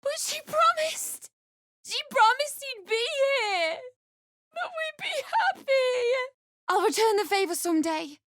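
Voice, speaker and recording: An actress voicing a frightened, grieving young wife in a fantasy video game, pleading and tearful, breaking into anguished cries. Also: female voice, very high-pitched, very animated, moderate pace, few pauses, a bit bright, no room sound, studio quality, heavily acted. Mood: desperate.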